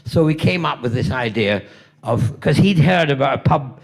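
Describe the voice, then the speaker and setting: Gravelly older British man telling stories. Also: medium pitch, expressive, moderate pace. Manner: rambling anecdotal, playful, self-mocking asides. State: coughing, tickle in throat. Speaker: convention guest actor. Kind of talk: convention Q&A storytelling. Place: convention hall stage.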